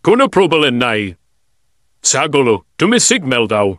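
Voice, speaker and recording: A bossy forest race organizer from a cartoon, who announces the rules and shushes the crowd, as a man acts him out. His voice sits somewhat high for a man, and the sound is dry and close. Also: very animated, normal speed, fairly bright tone, dry recording. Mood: reassuring. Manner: announcing, keeps order. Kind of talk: cartoon voice acting.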